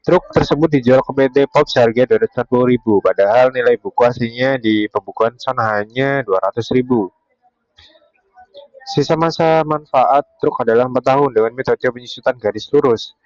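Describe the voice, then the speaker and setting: A man's voice: medium pitch, a little fast, no echo, muffled recording. A young man giving a step-by-step explanatory presentation as a university student. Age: young adult.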